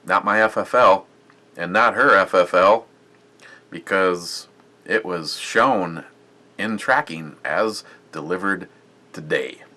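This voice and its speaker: A man's voice: deep voice, slow pace, pushed and bright. A man casually reviewing a beer on his vlog, rambling and chatty with lots of fillers and restarts.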